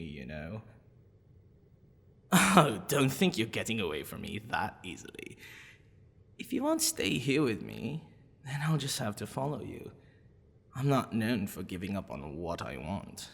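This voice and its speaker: Man acting a slow, husky, flirty dragon-blooded librarian. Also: lowish voice, big-room sound, heavily acted. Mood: playful, insistent.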